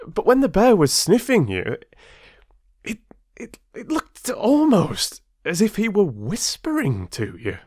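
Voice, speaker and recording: Man putting on a high-pitched anxious young traveller. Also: huge pitch swings, slow pace, darkish tone, slightly rough, no room sound, clean studio sound, heavily acted. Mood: curious, excited. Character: younger male traveller, frightened, anxious, excitable.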